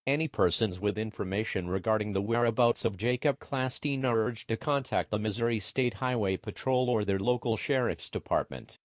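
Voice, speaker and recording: A man voicing an impersonal emergency alert announcer in a formal, measured, official manner. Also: fairly low pitch, no room sound, studio quality, lightly acted.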